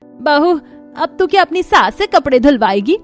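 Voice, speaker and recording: Scolding Indian mother-in-law, middle-aged, high pitch, melodramatic, cartoon story, faint background music. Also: very animated, moderate pace. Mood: aggrieved. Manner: nagging, melodramatic. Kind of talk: animated moral-story voice acting.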